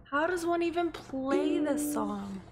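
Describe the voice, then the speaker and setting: A young woman with a hoarse, nearly lost voice, chatting casually on a live stream in a small room at home. She rambles and giggles, with lots of fillers. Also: high-pitched, animated, takes it slowly, a little hiss or hum. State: hoarse, voice mostly gone. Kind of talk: casual live-stream chat between songs. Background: her own ukulele strumming.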